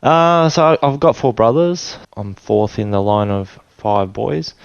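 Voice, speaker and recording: A middle-aged Australian man telling his life story in a relaxed, rambling way with many fillers and restarts. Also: medium pitch, measured pace, vocal fry, clear, resonant tone, no echo, dull audio. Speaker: interview guest. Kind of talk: casual storytelling interview.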